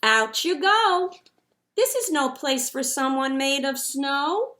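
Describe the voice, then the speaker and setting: Lady doing a storybook head gardener, no nonsense n dismissive, highish voice. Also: animated, slow pace, bright, forceful tone, full character voice. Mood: surprised, dismissive. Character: brisk, dismissive head gardener from a picture book.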